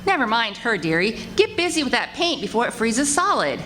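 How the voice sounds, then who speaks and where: A woman's voice: fairly high pitch, very animated, fairly quick, pushed and bright. A woman playing a patient, wry, homey wife who teases her husband in an old-time radio comedy. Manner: homey, teasing. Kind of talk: 1940s radio comedy acting before a live audience. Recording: noisy live stage recording.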